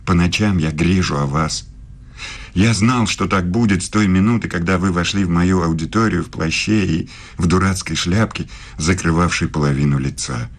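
A man playing an ardent, commanding professor in love, speaking deliberately in a romantic radio drama. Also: low voice. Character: ardent, commanding male philosophy professor, a secret lover. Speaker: actor in a radio play. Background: music.

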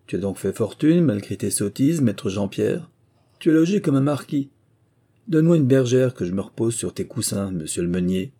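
A man voicing a blustering male wind spirit from a storybook, with a gruff big voice. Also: medium pitch, expressive, moderate pace, dark tone, close to an everyday voice. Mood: blustering, jovial. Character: blustering giant wind spirit, booming, then pleading. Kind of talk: storybook character voice.

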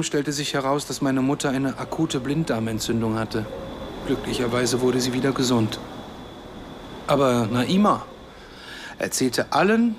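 A man's voice: medium pitch, natural voice. Actor as earnest, wry Palestinian man, noisy ambience. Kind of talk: naturalistic German radio drama acting.

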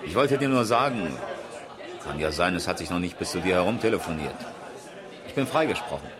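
Deep, lively, chummy man outdoors. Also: brisk, crowd noise. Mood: uneasy, chatty. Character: acquitted rally driver, chatty man putting on ease. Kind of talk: radio crime drama acting, casual dialogue.

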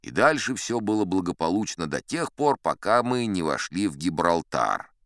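A man's voice: sits low, big pitch swings, moderate pace, a bit bright, no room sound, clean studio sound, full character voice. A man playing an old sea captain who tells tall tales in a sly, folksy, conversational way, as in a dramatized audiobook. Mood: self-satisfied, leisurely. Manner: conversational, sly, leisurely, addressing a young listener.

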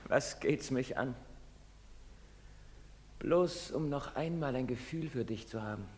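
A man's voice: medium pitch, expressive, takes it slowly, darkish tone. Desperate young man, echoing hall. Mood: bitter, resigned. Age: young adult. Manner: brooding, swinging into anguished outbursts. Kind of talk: German stage drama acting. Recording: reverberant theatre hall recording.

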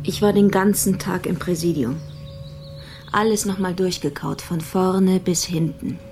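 An actress playing a sober, sceptical police investigator in a radio play, speaking in a matter-of-fact, challenging way. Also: female voice, fairly low pitch, steady tone, measured pace, a little mellow, soft background music.

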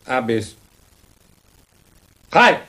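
A cold, contemptuous Japanese camp commandant in a radio play. He delivers each word separately, curt and extremely slow. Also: mid-range voice, very animated, pushed and bright, heavily acted. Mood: barking. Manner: halting, clipped word by word. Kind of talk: radio drama acting.

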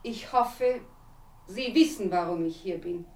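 A woman's voice: mid-range voice, a little slow, a little mellow, close to an everyday voice. Cold, vengeful woman played by an actress, distant. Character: veiled, coldly vengeful woman confronting her blackmailer. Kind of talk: radio drama acting. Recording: distant, echoey room in radio drama.

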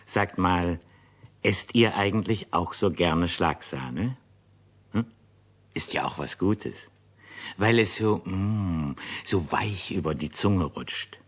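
A man narrating a children's radio play, telling the story in a chatty, expressive way straight to young listeners. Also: sits low, slow pace, breathy edge. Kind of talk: scripted storytelling addressed to children. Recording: dull lo-fi old radio play.

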